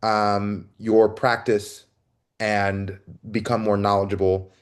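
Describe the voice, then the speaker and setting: Male interviewer, storyteller type: slow, measured delivery, lowish voice. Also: flat delivery. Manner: slow and deliberate, searching for words. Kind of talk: conversational interview.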